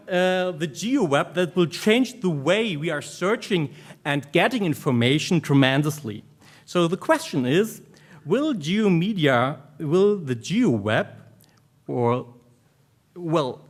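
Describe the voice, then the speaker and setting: Higher-pitched German-accented man presenting. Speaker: academic researcher, conference speaker. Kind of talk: academic conference presentation.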